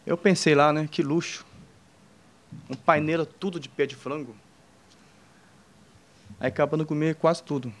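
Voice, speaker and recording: Chinese-accented man telling a halting story. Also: on the high side, steady tone. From China. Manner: halting, many fillers and restarts, jokes with the audience. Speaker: entrepreneur speaking on a panel. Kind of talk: informal personal story told to an audience.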